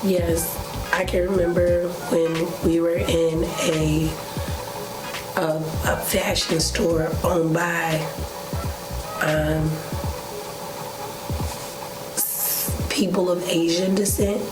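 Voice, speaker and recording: A woman's voice: on the low side, level tone, extremely slow, pauses often, over music. A young woman co-hosting a vlog speaks sincerely and solemnly, hesitating, with a voice she is trying not to strain. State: unwell, saving a strained voice. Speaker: vlog co-host. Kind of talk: sincere casual talk to viewers. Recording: echoey room with clear background noise.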